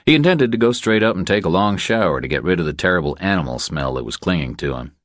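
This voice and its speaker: A man's voice: low voice, expressive, fast, a bit bright, no room sound, studio quality. A man reading a crime thriller audiobook as a scripted narration.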